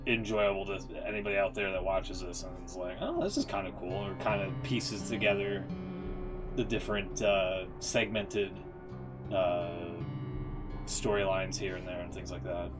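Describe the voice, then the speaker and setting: A man's voice: sits low, level tone, normal speed. A man giving casual gaming commentary as a streamer, in a rambling, hesitant way that often interrupts itself. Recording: music playing behind the voice.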